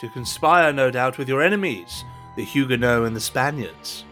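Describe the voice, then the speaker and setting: A man's voice: medium pitch, expressive, moderate pace, a bit bright, faint music behind, close to an everyday voice. An actor playing a scheming, deferential cardinal advising a king in a period audio drama, with a formal, insinuating, courtly manner.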